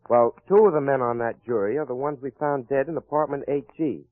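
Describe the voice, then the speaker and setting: An actor playing a wry, confident private detective in a hard-boiled old-time radio crime drama. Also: male voice, medium pitch, fairly quick, no room sound, lightly acted. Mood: wry, assured. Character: wry confident male private detective cracking a murder case. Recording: muffled vintage radio recording.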